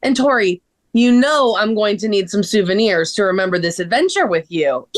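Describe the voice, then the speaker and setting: A woman voicing an excitable, dramatic best friend who loves shopping, in a table read of a children's story. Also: mid-range voice, moderate pace, natural voice. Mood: pleading, excited. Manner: dramatic, effusive.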